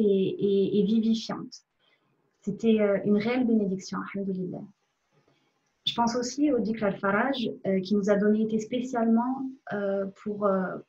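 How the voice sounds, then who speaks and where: A woman's voice: mid-range voice, a little mellow. Reflective woman, muffled recording. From France. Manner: reflective, hesitant with frequent pauses. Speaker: member of a religious community giving a testimony. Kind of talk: personal spiritual testimony, spoken to a recording. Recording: dull, muffled lo-fi sound.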